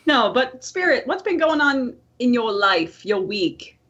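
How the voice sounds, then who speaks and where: A woman's voice: on the high side, big pitch swings, unhurried, fairly bright tone. A woman co-hosting a podcast in casual, playful, rambling chat. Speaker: podcast co-host. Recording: slightly dull, lo-fi audio.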